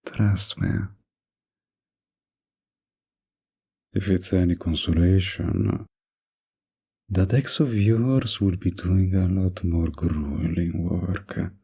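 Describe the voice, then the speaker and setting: A man playing a mafia boss in an intimate roleplay audio, menacing yet kindly, with an unhurried, lightly teasing, flirtatious manner and a gravelly, husky voice. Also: deep voice, mellow, exaggerated voice. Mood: dry, businesslike.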